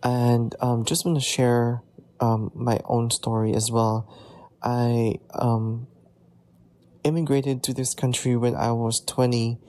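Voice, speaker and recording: A man from the Philippines with a Filipino accent, Ilonggo his first language, giving a hesitant spoken response full of "um" pauses. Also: medium pitch, level tone, warm tone. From Iloilo City, Philippines. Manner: hesitant, many pauses and "um" fillers. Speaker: discussion participant. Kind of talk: casual spoken response message.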